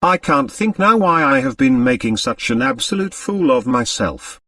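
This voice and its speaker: A man plays a wealthy young wife in a storybook, distraught and full of dread. She confides dramatically, with a lively swooping pitch, heard a little away from the microphone. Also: moderate pace, gravelly, no room sound, clean studio sound, distant mic, full character voice. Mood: relieved, embarrassed. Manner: agitated, dramatic, confessional.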